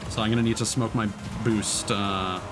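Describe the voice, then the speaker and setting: A man's voice: fairly low pitch, steady tone, normal speed, over music. A man doing casual live gaming commentary, thinking aloud and chatting to his viewers. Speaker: livestreamer, gamer.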